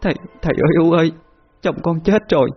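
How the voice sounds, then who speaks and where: A man voicing a grieving, frightened young village woman who pleads with her father in a husky, tearful voice. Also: medium pitch, expressive, brisk, pitched above the performer's own voice. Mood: tearful pleading. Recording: muffled lo-fi with faint music.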